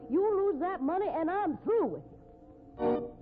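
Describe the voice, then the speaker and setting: A woman's voice: very high-pitched, lively intonation, measured pace, phone-quality sound. Fretful cowgirl wife, thin radio. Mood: scolding. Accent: American. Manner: fretful, scolding. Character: worried, sharp-tongued rodeo cowboy's wife, a roper. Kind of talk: 1950s radio drama acting. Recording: thin vintage radio recording with music.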